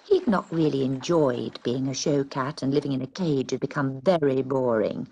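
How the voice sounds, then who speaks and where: A woman reading a children's story aloud in an expressive, dramatic way. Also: a bass voice, normal speed, highs cut off, slight background noise.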